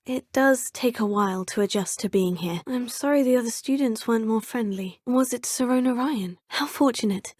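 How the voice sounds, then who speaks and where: A woman's voice: medium pitch, a little mellow, husky, no echo, close to an everyday voice. An actress voicing a polite, composed young female student witch with a British accent, speaking game dialogue close to a studio mic. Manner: polite, proper and well-spoken. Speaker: player character, student. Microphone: close studio mic, dry.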